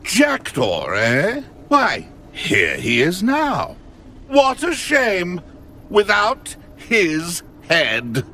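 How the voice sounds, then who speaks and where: An actor playing a pompous, mocking British ghost lord in a video game, theatrical and taunting. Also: male voice, sits high, huge pitch swings, extremely slow, sharp tone, a little hiss or hum, heavily acted. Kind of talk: video game dialogue acting.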